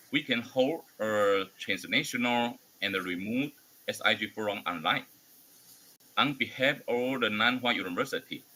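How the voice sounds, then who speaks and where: Forum host: man, Taiwanese accent, Mandarin native, lowish bright voice, formal yet hesitant, slight background noise. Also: poor audio. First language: Mandarin Chinese. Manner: halting, hesitant non-native English delivery. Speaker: host of an online academic forum. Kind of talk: formal welcome speech.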